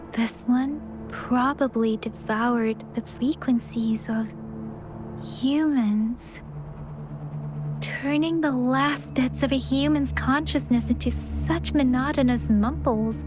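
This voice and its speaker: Young female scout, video game, high-pitched, measured pace, soft-spoken, sincere. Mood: grave, pondering. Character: gentle, perceptive young woman, a scout. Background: background music.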